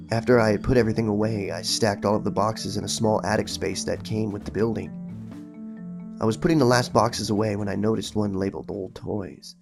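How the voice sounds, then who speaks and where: A man's voice: lowish voice, vocal fry. A man reading a horror story aloud in a dramatic, suspenseful storytelling manner. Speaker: horror story narrator and channel host. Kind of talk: dramatic creepypasta reading, then casual recap.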